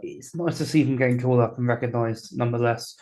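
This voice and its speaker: A man's voice: medium pitch, quick pace, a little mellow. A man hosting a casual podcast, steering the talk and teasing his co-hosts. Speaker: podcast host.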